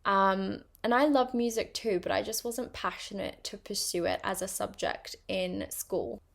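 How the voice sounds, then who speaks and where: A woman's voice: medium pitch, measured pace, creaky. A young woman with a partly British accent, chatting casually on her own podcast with plenty of ums and likes. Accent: mixed British and international. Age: young adult. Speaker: podcast host. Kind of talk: casual personal monologue.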